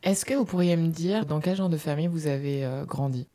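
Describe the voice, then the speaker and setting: A woman hosting a podcast who reads the narration and asks interview questions in a measured, calm way. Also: low-pitched.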